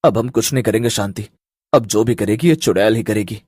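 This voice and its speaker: A man voicing a fretful, talkative husband in a cartoon, with a husky, expressive delivery. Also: lowish voice, a little fast, dry recording, clean studio sound. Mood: resigned.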